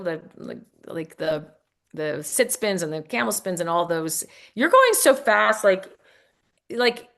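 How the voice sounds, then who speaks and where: A woman's voice: medium pitch, fairly bright tone. A woman author speaking as an interview guest, explaining in a casual, rambling way with self-corrections.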